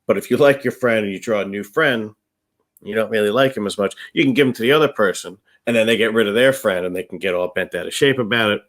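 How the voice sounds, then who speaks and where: Low-voiced man in casual chat. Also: fast, fairly bright tone. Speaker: co-host of a board game review show. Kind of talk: casual chat, reviewing board games.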